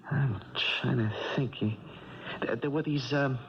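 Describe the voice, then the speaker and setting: A man's voice: medium pitch, steady tone, a little slow, close to an everyday voice. An actor playing a sleepless, frightened young male boxer in a 1970s radio drama, stammering and groping for words. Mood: frightened, dawning dread. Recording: dull 1970s radio recording.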